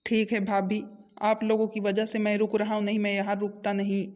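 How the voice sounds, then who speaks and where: A man's voice: high-pitched, monotone, a little fast, muffled recording, lightly acted. A man voicing a principled, caring younger brother in a family comedy cartoon, speaking in an earnest, protesting way. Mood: conceding.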